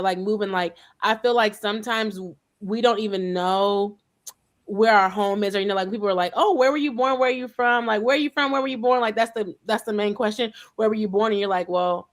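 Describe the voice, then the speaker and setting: A woman hosting a casual group conversation, telling stories in a chatty way and acting out the dialogue, with relaxed Southern-leaning grammar. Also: mid-range voice. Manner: chatty storyteller, acts out dialogue. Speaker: host.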